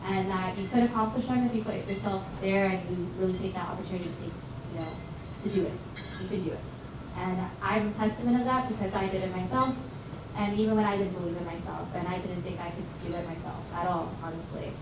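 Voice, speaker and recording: A woman's voice: mid-range voice, steady tone, quick pace. Woman guest speaker, earnest, encouraging, distant mic, noisy echo. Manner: earnest, encouraging, many fillers. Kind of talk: motivational talk. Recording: echoey, distant, noisy livestream audio.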